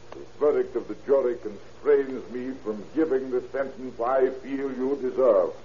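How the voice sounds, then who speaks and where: Severe courtroom judge played by a man, mid-pitched, grave and declamatory, for vintage radio drama with muffled sound. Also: a little mellow, slight background noise, lightly acted. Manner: solemn, declamatory, stern. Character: stern, condemning male judge, grave and severe. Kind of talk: 1930s radio acting.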